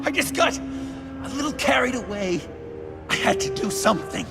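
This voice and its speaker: An unhinged, gushing clown vigilante, very high-pitched with a creaky edge. He is theatrical and over-eager in a chummy way, a game character over loud music. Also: animated, moderate pace, exaggerated voice. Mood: chummy, manic. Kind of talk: video game voice acting.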